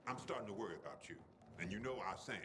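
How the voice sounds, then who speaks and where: An actor playing a seasoned middle-aged wasteland wanderer in a video game, a brisk, teasing, unsentimental mentor. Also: male voice, fairly low pitch, noisy background, lightly acted. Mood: gruff, fond. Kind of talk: video game cutscene acting.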